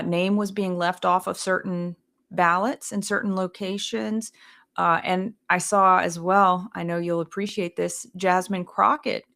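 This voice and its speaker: A woman's voice: medium pitch, fairly bright tone. A woman, hosting a political talk show, talking in a chatty, agreeable way with many fillers. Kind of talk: casual political discussion.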